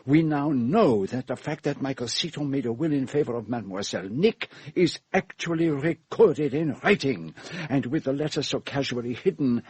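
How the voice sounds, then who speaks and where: An actor playing a shrewd, self-assured Belgian detective with a French-speaking accent and formal, precise, theatrical phrasing in a radio drama. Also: male voice, medium pitch, lively intonation, moderate pace, a little mellow, a little hiss or hum, lightly acted. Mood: grave, deliberate.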